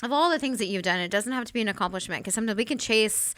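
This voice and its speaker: Bright-voiced chatty woman host. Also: mid-range voice, animated, racing, smooth. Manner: chatty, friendly, laughs often. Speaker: podcast host. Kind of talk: casual interview chat.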